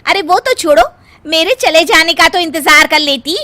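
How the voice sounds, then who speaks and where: Woman acting as neighbour auntie, high pitch, firm, admonishing, emphatic, family audio story. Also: moderate pace, bright, forceful tone. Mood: indignant. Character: indignant, principled neighbour woman, a doctor auntie.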